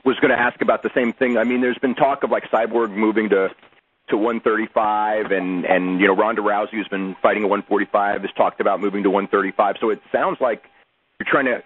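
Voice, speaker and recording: A man asking questions as a sports reporter, halting and rambling, repeating words as he goes. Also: fairly low pitch, fairly even intonation. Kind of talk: spontaneous press questioning. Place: conference call over phone. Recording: tinny telephone conference line.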